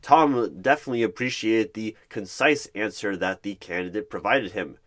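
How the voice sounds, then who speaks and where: A man narrating a roleplay story in the third person, with a deliberate, halting delivery. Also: on the low side, a bit bright, a little hiss or hum.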